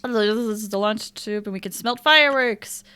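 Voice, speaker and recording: A woman doing casual, chatty livestream commentary as she plays a game, with an excitable manner. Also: medium pitch, moderate pace, clear, resonant tone. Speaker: game streamer.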